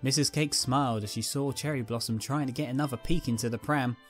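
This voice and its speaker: A woman's voice: extremely low pitch, fast, faint music behind. A woman narrating a scripted fan fiction story as its reader.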